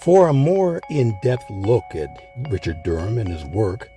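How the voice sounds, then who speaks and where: A low, mellow male voice narrates an educational documentary. His scripted delivery is measured and emphatic, with lively rises and falls, over a music bed. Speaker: narrator and host.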